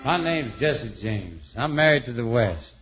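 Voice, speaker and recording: A man's voice: mid-range voice, sing-song, measured pace, soft background music. A man playing a swaggering Western bandit with folksy talk in a radio comedy. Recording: dull, very noisy old radio recording.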